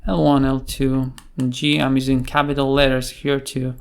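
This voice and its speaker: A man giving an unscripted tutorial explanation in a halting way, with many filled pauses. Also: medium pitch, level tone, vocal fry, clear, resonant tone. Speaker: programming tutorial presenter.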